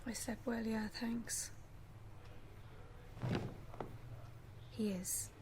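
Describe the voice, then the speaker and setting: An actress playing a grieving young Irish mother in a radio play, soft and breathy, gentle and weary in her coaxing. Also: a little high, steady tone, a little slow, heavy background noise, lightly acted. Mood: weary, concerned.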